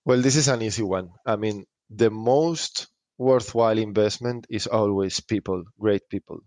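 Low-voiced man giving interview answers. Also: darkish tone, no room sound. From Spain. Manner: halting, reflective, repeats phrases. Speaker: startup founder being interviewed.

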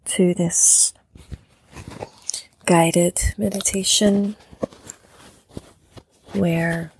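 A woman leading a guided meditation, speaking calmly and gently in short phrases with long pauses. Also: on the low side, a little hiss or hum, poor audio. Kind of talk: guided meditation, softly spoken instruction.

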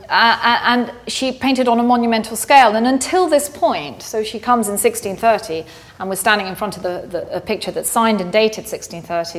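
A woman curator explains artworks in a knowledgeable way. She speaks with a voice of middle pitch and guides the listener through the history. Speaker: museum curator. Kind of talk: explaining art on a gallery tour. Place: art gallery, in front of a painting.

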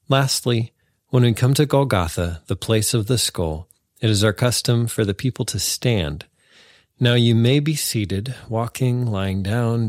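A man with a fairly low voice speaks as a pastor introducing a church recording. The sound is dry and close, with little room around the voice. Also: no room sound. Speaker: pastor of worship and liturgy introducing a reading.

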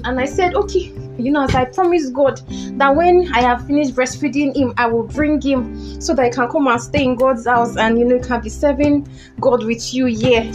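Casting: actress as a grateful, once-mocked Nigerian mother, high voice, fervent and declamatory, for a podcast play with music underneath. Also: lightly acted. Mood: grateful, joyful. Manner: emphatic, declamatory, repeats phrases. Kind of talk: podcast audio drama acting.

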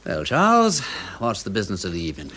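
An actor playing a witty, playful aristocratic gentleman detective in a radio drama, with an upper-class English accent and flamboyant old-fashioned slang. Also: fairly low pitch, wildly swooping pitch, quick pace, full character voice. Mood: breezy.

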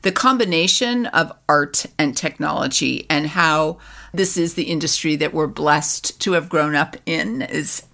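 A woman's voice: deep voice, a little slow, vocal fry, a bit bright. A woman hosting an interview, enthusiastic and asking open questions about her guest's background and ideas. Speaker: interview host, co-founder. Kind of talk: interview conversation.

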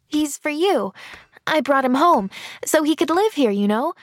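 An eager young girl from a storybook, voiced by a woman reader. The voice sits high and chatters earnestly, with a breathless, gushing, kind-hearted manner. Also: expressive, measured pace. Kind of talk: audiobook character voice.

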